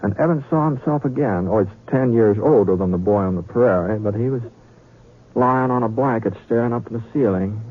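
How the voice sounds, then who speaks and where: A man playing a folksy Western storyteller narrating an old-time radio drama in a halting, conversational way. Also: lowish voice, lightly acted. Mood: folksy reminiscing. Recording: muffled vintage radio, faint music.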